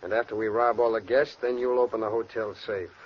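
An actor playing a ruthless, bossy armed robber in a 1940s radio crime drama, talking in rough, threatening slang. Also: male voice, lowish voice, fairly even intonation, fairly quick, lightly acted. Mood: menacing. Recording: noisy muffled old radio recording.

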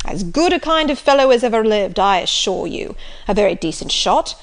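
A woman voicing a hearty, blustering middle-aged country squire who talks loudly and jovially. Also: medium pitch, lively intonation, normal speed, natural voice. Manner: loud, jovial, emphatic.

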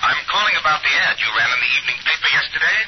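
Fast, smooth businessman, hesitant pauses, heard over a noisy old telephone line. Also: on the high side, expressive. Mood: businesslike. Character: smooth-talking, vague small-firm businessman on the phone. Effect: telephone filter. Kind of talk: 1940s radio drama acting. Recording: noisy, dull 1940s radio phone voice.

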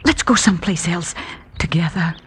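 A woman's voice: fairly low pitch, normal speed, a little hiss or hum, lightly acted. An actress in a 1950s radio western playing an anxious saloon girl who begs a man to leave town. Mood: pleading. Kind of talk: 1950s radio drama acting.

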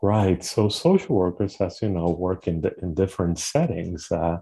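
Deep, husky-voiced man from Puerto Rico. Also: expressive, normal speed, dark tone. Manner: thoughtful, with frequent restarts. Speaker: guest, clinical social worker. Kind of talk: conversational interview.

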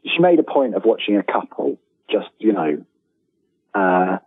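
A man's voice: low-pitched, sing-song, unhurried, husky, dry recording. A middle-aged man talking casually as an interview guest, rambling, self-deprecating and enthusiastic, over a thin phone-like line.

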